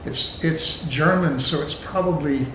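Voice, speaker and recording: Older man, higher-pitched, musing in echoey room. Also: measured pace, fairly bright tone. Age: 60s or older. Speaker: vlog host's companion. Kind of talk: casual chat while opening gifts. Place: indoors at home, roomy space. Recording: roomy echo, noticeable background noise.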